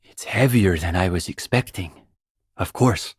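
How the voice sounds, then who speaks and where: Young male student radical, lowish slightly husky voice, earnest, bookish, smugly doctrinaire, pedantic video game dialogue. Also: a little slow, dry recording, clean studio sound. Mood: earnest, explanatory. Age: young adult. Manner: intellectual, opinionated, dryly pedantic.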